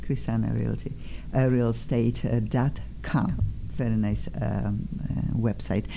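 A woman's voice: rumbling low, steady tone, a little slow, gravelly, noisy background. A woman with a Polish accent hosting a live radio interview show, effusive and hesitant with many fillers. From Poland.

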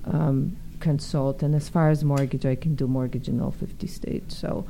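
Brief: deep, gravelly woman, mellow tone, realtor guest on radio, relaxed explainer, faint hiss. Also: moderate pace. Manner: relaxed, explanatory, filler-laden. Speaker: real estate agent guest. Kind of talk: radio interview.

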